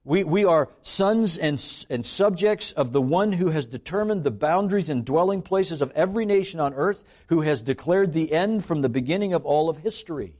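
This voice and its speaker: A man's voice: a little high, animated, darkish tone. Earnest Scottish-born preacher, man. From Scotland. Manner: earnest, emphatic, with slowed phrases for stress. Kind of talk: sermon.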